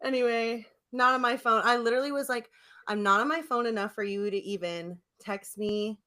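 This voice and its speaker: A woman co-hosting a casual comedic podcast, telling stories in an animated, slangy way, acting out her replies and laughing often. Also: a little high, normal speed. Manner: animated storytelling, acts out her own text replies. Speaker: podcast co-host.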